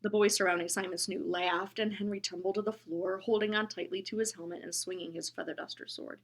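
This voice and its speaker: A woman reading a children's book aloud, gentle and expressive, often dropping into a whisper. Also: mid-range voice, fairly quick. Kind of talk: storybook read-aloud.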